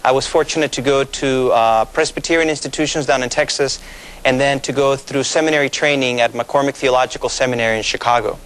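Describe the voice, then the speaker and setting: A man in his thirties from Mexico, a pastor with Spanish as his first language, explaining things in a measured way with many fillers during a TV studio interview. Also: mid-range voice, steady tone, a bit bright, smooth. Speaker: pastor, guest.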